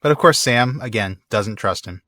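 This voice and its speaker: A man's voice: on the low side, expressive, moderate pace, pushed and bright, no echo, studio quality. A man hosting a podcast, talking through books in a casual, conversational and emphatic way. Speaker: podcast host. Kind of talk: casual literary discussion.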